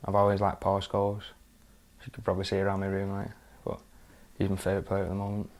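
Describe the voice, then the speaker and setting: A young man talking casually as a fan, relaxed and hesitant with fillers. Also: sits low, fast. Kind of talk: casual interview.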